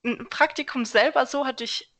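A young woman from Germany, a civil engineer answering interview questions in a relaxed, thoughtful way with many small fillers. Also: high voice, normal speed. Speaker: podcast guest, civil engineer.

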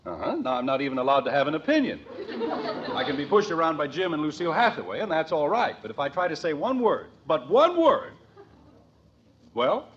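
A man's voice: fairly high pitch, sing-song, fairly quick, a faint crowd, slight background noise. An actor playing a stubborn, indignant middle-aged husband and father in a vintage radio situation comedy, petulant and sarcastic. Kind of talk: 1940s-50s radio situation comedy acting. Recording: dull vintage radio recording.

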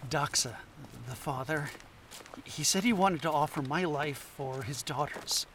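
A high-voiced, flustered scatterbrained professor. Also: male voice, unhurried, fairly bright tone, slight background noise. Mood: shaken. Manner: hesitant, stammering. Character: flustered, scatterbrained male anthropologist professor, anxious and overwhelmed. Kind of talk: audio drama acting.

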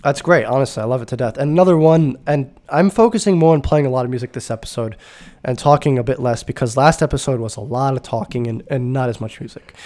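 A man's voice: mid-range voice, darkish tone. A man hosting a casual music podcast, sounding stuffy and nasal from a cold, talking enthusiastically with rambling asides and self-corrections. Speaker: podcast host.

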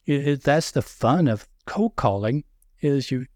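A middle-aged man with a deep Southern accent, talking loudly and telling sales stories with many restarts. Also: unhurried, no room sound, studio quality. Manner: loud, animated storyteller with frequent restarts. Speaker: sales podcast guest. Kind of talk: casual conversation.

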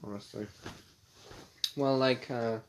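A young man with a deep voice, co-hosting a casual podcast from a friend's house, laid-back and joking, swearing casually. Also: fairly even intonation, takes it slowly, background noise. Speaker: podcast co-host.

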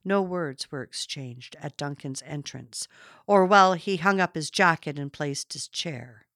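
A woman narrates literary fiction in a dry, studio-like sound. Her delivery is slow and measured, with expressive storytelling and a slightly low voice. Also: no room sound. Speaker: audiobook narrator.

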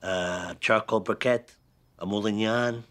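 An actor playing a menacing man who confronts someone slowly and coldly, heard as a faint film clip. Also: on the low side, fairly even intonation, fairly bright tone. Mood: cold menace. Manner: slow, cold, threatening.

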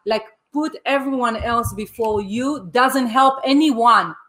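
A woman's voice: fairly high pitch, slow, a bit bright. Eager woman coach, native Hebrew speaker. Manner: eager, repeats words, restarts sentences. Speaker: life coach. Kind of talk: casual podcast conversation.

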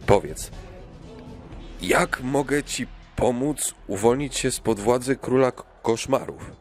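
A man's voice: mid-range voice, slow pace, a little mellow, faint music behind. A man playing a polite, nervous king on a quest, hesitant and stammering, in a children's audio play. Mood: cautious, hesitant.

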